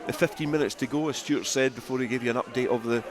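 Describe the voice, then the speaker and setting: Man, rugby play-by-play commentator, live radio style, mid-range pitch, outdoors at the ground. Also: fast talker, crowd noise.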